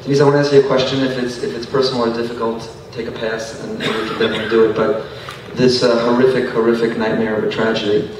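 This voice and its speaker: Fast, flat-voiced moderator man. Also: mid-range voice, noisy background. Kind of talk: panel moderation. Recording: echoey hall, distant microphone, audience.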